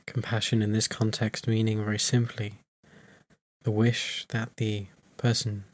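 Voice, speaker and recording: A man leading a guided meditation as a teacher, in soothing phrases with long, unhurried pauses. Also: on the low side, monotone.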